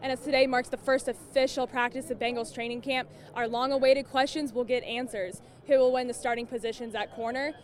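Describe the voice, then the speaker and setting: Higher-pitched woman sports anchor. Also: fairly even intonation, fairly quick, few pauses. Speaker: television sports reporter. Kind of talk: scripted sports news narration.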